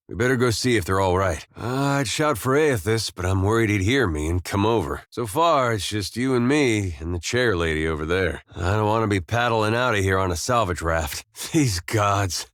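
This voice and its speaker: Low-voiced, folksy, wry farmer adventurer. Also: male voice, lively intonation, normal speed, a bit bright, no echo. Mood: dry, easygoing. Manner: folksy, dry understated humor, plain-spoken. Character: laid-back, wry farmer turned adventurer, good-natured young-to-middle-aged man. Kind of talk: scripted video game dialogue.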